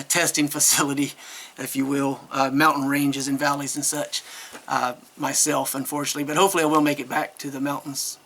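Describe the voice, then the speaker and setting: A man with a fairly high-pitched voice reviews a product off the cuff. His intonation stays quite flat, and he rambles along hesitantly, correcting himself as he goes. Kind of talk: casual unscripted product review.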